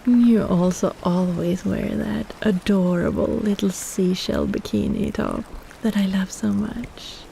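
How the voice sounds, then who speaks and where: Woman voicing a slow, sultry, possessive kraken. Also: medium pitch, vocal fry, dark tone, slight background noise. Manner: sultry, playful, unhurried teasing with sighs. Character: possessive, flirtatious, teasing female kraken lover. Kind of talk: scripted romantic roleplay audio.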